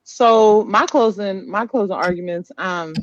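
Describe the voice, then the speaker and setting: A man's voice: high voice, measured pace, a little hiss or hum. A man co-hosting a podcast in casual, blunt, informal talk with some swearing, on a dull lo-fi recording. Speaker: podcast co-host. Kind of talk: casual live podcast chat. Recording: dry, dull lo-fi podcast audio.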